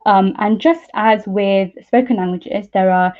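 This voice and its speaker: Thin, phone-like online call audio carries a young woman. Her pitch sits in the middle for a woman. She explains science informally over slides, as a student presenter who hesitates a little as she goes. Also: a little slow. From East Midlands, England. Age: young adult. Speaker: PhD student speaker. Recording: muffled narrow-band online call audio.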